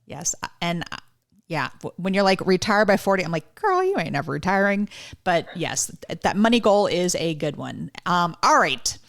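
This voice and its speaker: A woman's voice: on the low side, big pitch swings, normal speed, gravelly. A woman in her forties hosting a podcast interview, chatty and enthusiastic with her guest. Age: 40s. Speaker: podcast host.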